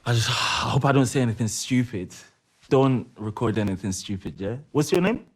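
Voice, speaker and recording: An actor playing a joking, self-deprecating veteran racing driver, chatting haltingly to an interviewer in a game story. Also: male voice, mid-range voice, animated, breathy edge. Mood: playful, self-deprecating. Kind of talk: informal documentary interview acting.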